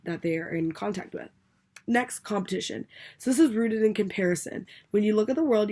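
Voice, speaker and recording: A woman's voice: on the low side, darkish tone. A young woman with a stuffy nose and a scratchy voice from a cold, summarizing a book chapter for a class in a casual reading style with fillers. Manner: reads a list, then explains casually with fillers and restarts. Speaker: student presenter.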